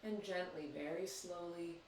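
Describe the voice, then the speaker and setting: Echoey, distant calm yoga teacher man. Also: high voice, slight background noise. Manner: slow, soothing guidance with long pauses. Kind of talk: guided yoga meditation.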